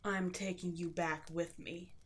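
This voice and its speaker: A woman playing an imperious, seductive demon queen in a roleplay audio drama, slow and theatrical, often slipping into a breathy whisper. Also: fairly low pitch, fairly even intonation. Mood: triumphant, menacing.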